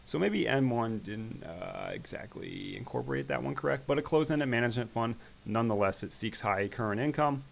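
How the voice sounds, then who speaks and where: A man hosting an investing channel, talking casually through his stock portfolio in a rambling way, his voice growing raspy. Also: mid-range voice, brisk, few pauses. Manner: relaxed, rambling, with fillers. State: voice getting raspy. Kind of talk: casual screen-share stock commentary.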